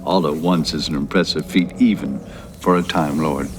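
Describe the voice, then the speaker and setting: An actor playing a grandiose, self-satisfied Time Lord villain in an audio drama, speaking in a measured, pompous way. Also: male voice, very deep voice, fairly even intonation, a little hiss or hum. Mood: smug, grandiose.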